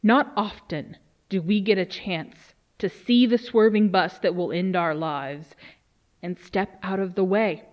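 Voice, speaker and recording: A woman playing a grave research official, delivering a solemn, measured dramatic briefing. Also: mid-range voice, lo-fi sound, lightly acted. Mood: grave, resolute. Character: grave female research official briefing on a doomsday alien threat. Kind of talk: dramatic scripted monologue.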